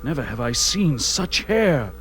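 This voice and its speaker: A man's voice: mid-range voice, big pitch swings, unhurried, breathy edge, full character voice. A man playing a proud, fierce barbarian warrior in a fantasy audio drama, speaking in a declamatory, archaic heroic manner. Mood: dazed wonder. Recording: music under the voice.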